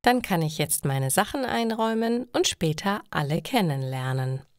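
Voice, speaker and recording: A woman voicing a cheerful, contented young female student in a storybook, with slow, friendly phrasing. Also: lowish voice, very animated, no room sound, clean studio sound.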